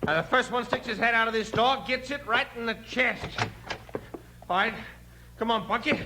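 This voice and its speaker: An actor playing a bossy, menacing young outlaw leader in a 1950s radio western, barking orders impatiently. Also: male voice, extremely high pitch, normal speed. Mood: urgent, aggressive. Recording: noisy, echoey vintage radio recording.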